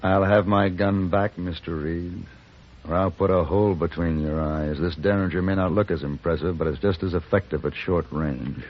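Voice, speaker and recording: Cool american gunslinger gentleman, low voice, terse and unflappable, muffled old radio western. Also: fairly even intonation, normal speed, a little hiss or hum. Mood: quietly menacing. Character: cool, confident gentleman gunfighter for hire. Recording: muffled vintage radio recording.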